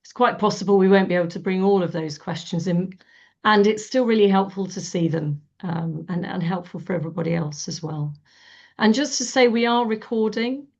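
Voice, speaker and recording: Croaky-voiced woman hosting a webinar. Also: medium pitch, a little fast. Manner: warm, chatty, many fillers. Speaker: webinar host. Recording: dull lo-fi webinar audio.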